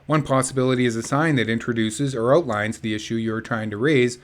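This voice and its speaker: A man's voice: medium pitch, quick pace. A man reading a book aloud in an informal, chatty way with casual asides. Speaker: reader of an activist book.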